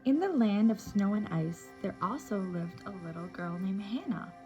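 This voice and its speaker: A woman telling stories to young children as a library storyteller, with simple, encouraging address and an animated picture-book reading style. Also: medium pitch, normal speed, muffled recording, faint music behind.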